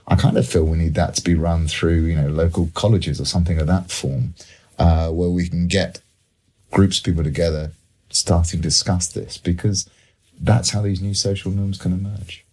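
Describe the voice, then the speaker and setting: A man's voice: low voice, fairly even intonation, moderate pace, a little mellow. A man talking as an expert panel guest, explaining his thinking in a conversational, discursive way.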